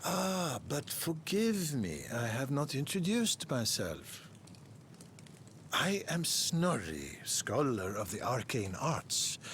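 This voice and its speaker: A man voicing a kindly, formal scholar of arcane arts in a video game, telling things in a measured, storytelling way. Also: medium pitch, a little hiss or hum. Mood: warm, courteous. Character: kindly, courteous, self-important male scholar of arcane arts. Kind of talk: video game character exposition.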